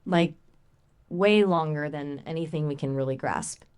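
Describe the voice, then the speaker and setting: A woman's voice as a conversational AI assistant, chatting casually in a playful, expressive, colloquial way. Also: deep voice, unhurried, clean tone.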